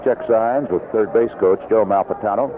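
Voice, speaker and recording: A man's voice: fairly low pitch, normal speed. An American man calling baseball play-by-play on 1970s radio in clipped, short fragments. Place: outdoor ballpark broadcast booth. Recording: dull, noisy vintage AM radio broadcast.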